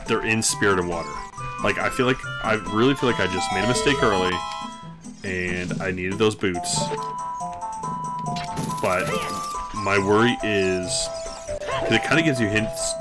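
A man with a very low, mumbling voice, casually thinking aloud while he streams a game from his room. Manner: thinking aloud, relaxed and rambling. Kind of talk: casual gameplay commentary. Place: home room at a desk. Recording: game music playing clearly beneath. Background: game music.

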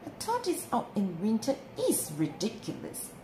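A woman's voice: mid-range voice, wildly swooping pitch, moderate pace, pitched above the performer's own voice. A woman voicing a stubborn young female tortoise in a plaintive, defiant way for a storybook. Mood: sad, discouraged. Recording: roomy with clear background noise.

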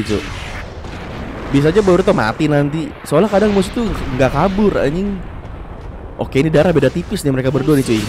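A young man with a stuffy, blocked nose giving excitable, slangy play-by-play of a fighting game and talking straight to his viewers. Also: medium pitch. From Indonesia. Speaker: gaming commentator. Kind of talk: casual gameplay commentary. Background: game music.

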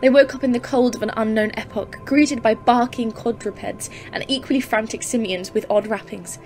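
A woman's voice: fairly high pitch, fairly even intonation, moderate pace, darkish tone, lightly acted. A woman playing a grave, awed professor in an audio play, speaking formally and intensely as a literary horror narrator. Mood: impassioned, awed. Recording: music under the voice. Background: dramatic music.

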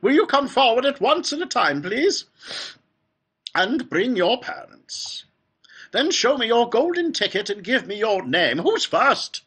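An eccentric, clever old gentleman from a children's storybook, bubbles over with delight. The voice is high, fluty and bright, leaping about in pitch. He gushes and repeats himself as he welcomes his guests. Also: sing-song, a little slow, breathy edge, full character voice. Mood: exuberant welcome. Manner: gushing, exclamatory, repeating himself. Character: excitable, clever old male factory owner, effusively welcoming.

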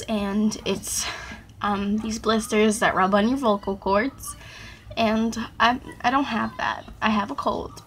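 A teenage girl vlogging at her webcam, hoarse and gravelly from a sore throat, chatting in a rambling, apologetic way full of ums. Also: a little high, fairly bright tone, slight background noise. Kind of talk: casual chat to camera. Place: small dark bedroom. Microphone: webcam at arm's length.

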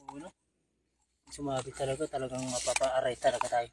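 Isang binatang nangingisda gamit ang lambat, palabiro at masigla habang nakikipagkuwentuhan. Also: male voice, mid-range voice, level tone, brisk, slight background noise. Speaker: fishing partner. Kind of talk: casual banter while netting fish. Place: concrete seawall at night, outdoors.

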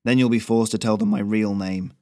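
A man's voice: fairly low pitch, steady tone, a little fast, mellow, no room sound, lightly acted. A man voicing a proud male messenger goose who talks in a dry, aggrieved, withering way. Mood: sardonic.

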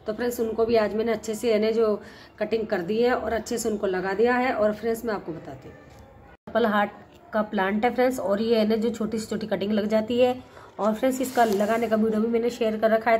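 A middle-aged woman with a hoarse, cold-roughened voice chats casually to her viewers and keeps calling them friends. She is recorded outdoors on a rooftop terrace on a phone held at arm's length. A faint hiss of background noise sits under her voice. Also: mid-range voice, a little mellow. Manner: chatty, repeats "friends" often. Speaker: gardening channel host. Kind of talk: casual vlog talk to viewers.

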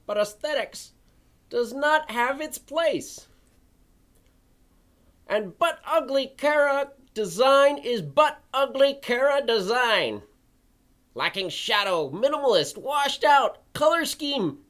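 A performer voicing an indignant, theatrical crow puppet in a dramatic reading, pompous and scornful with drawn-out emphasis. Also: high-pitched, expressive, a bit bright, exaggerated voice. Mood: outraged. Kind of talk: dramatic reading of an old forum post.

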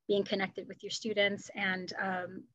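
Middle-aged woman panelist, even, steady delivery, dry close sound, headset on a video call. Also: mid-range voice, unhurried, no echo, lo-fi sound. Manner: conversational, with frequent fillers and light laughs. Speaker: panelist, political science department chair. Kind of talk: academic panel conversation. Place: home room.

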